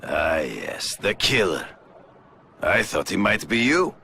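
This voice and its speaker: An actor playing a proud, solemn aging master hunter in a video game, speaking formally and gravely with deliberate weight. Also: male voice, very animated, sharp tone, full character voice. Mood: solemn, persuasive. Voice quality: deep, gravelly.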